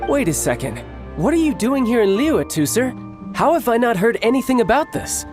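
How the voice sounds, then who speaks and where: A man voicing a cocky, playful young warrior and doting big brother in a video game, smooth-talking and theatrical. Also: very high voice, sing-song, moderate pace. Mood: surprised delight. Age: young adult. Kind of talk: video game character acting. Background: music.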